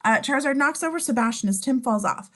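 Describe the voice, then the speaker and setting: A woman's voice: high voice, expressive, quick pace, bright, forceful tone. A woman narrating scene descriptions in an amateur script reading, sometimes stumbling and correcting herself. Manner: stumbles over words and self-corrects.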